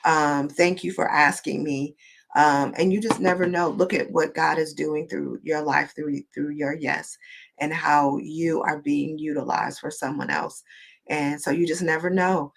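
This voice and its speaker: A woman guest on a video call, sounding wheezy and hoarse, talking hesitantly with many pauses and ums. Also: sits low, steady tone. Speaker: guest speaker. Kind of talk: casual online interview.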